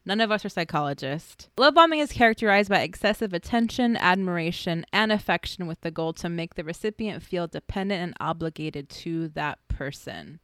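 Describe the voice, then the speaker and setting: A woman's voice: fairly low pitch, expressive, fairly quick, few pauses, fairly bright tone, no echo, clean studio sound. A woman hosting a podcast, talking in a chatty, casual way with fillers. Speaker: podcast host.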